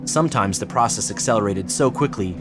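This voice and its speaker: An actor playing an earnest, persuasive psychiatrist in an audio drama, explaining in a measured, solemn way. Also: male voice, lowish voice, expressive, music in the background, natural voice. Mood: urgent, pleading. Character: earnest, persuasive male psychiatrist pressing his case. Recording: clearly noisy background ambience.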